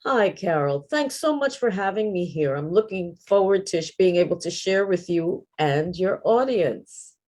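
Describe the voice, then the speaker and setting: Lower-voiced New woman. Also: lively intonation, normal speed, darkish tone. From New York. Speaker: guest. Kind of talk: interview answers.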